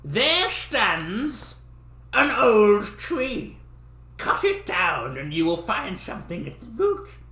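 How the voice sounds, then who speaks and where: A man voicing a little old man from a fairy tale, a kindly beggar with a slow, drawn-out delivery. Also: sits high, very animated, pushed and bright, pitched above the performer's own voice, heavily acted. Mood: solemn, kindly. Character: little old man, hungry beggar who grants blessings. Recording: roomy echo of a small room.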